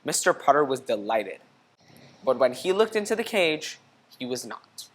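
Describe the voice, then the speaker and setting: A woman reading a children's storybook aloud in an expressive, teacherly way, pausing to put questions to young listeners. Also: extremely low pitch, low-quality recording. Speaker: storybook reader.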